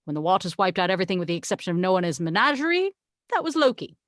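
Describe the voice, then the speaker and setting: A woman's voice: fairly low pitch, very animated, racing, no echo, studio quality. A woman reading the part of a haughty, sarcastic angel in a script table read, with a wry, exasperated, condescending manner. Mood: wry storytelling. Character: haughty, sarcastic tequila-loving angel, the voice of God.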